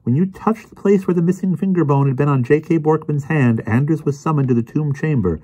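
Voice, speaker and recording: A man voicing a bouncy, arrogant know-it-all gentleman lecturing theatrically in an audiobook story. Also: on the high side, brisk, husky, pitched above the performer's own voice, rough recording. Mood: teasing, grand. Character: smug, bouncy, know-it-all older gentleman explaining mysteries.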